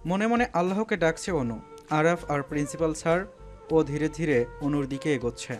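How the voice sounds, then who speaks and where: Higher-pitched male story narrator. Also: moderate pace, faint music behind. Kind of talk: scripted dramatic story narration.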